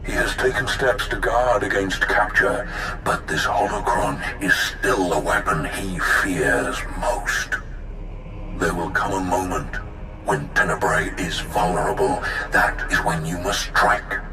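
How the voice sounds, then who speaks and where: A low-voiced old emperor, speaks slowly and formally with imperious menace. The game dialogue echoes under loud cinematic music. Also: male voice. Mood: solemn. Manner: grave, formal, deliberate. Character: old male dark emperor, imperious, coldly measured and menacing. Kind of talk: dramatic video game acting.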